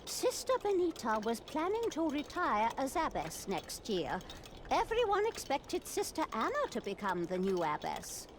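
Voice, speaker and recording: Game nun clockmaker: high-voiced, animated, muddled and kindly, slightly deaf, some background ambience. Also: female voice, a little slow, slight background noise, full character voice. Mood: matter-of-fact. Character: elderly-seeming, slightly deaf nun clockmaker, gentle and absorbed in repairs. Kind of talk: video game character dialogue.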